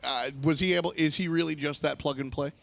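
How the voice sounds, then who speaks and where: A man with a creaky, gravelly voice hosts an esports desk. He presents emphatically, moving between hosting and analysis, and the sound is dry and close. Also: on the high side, steady tone, fairly quick, fairly bright tone, no room sound.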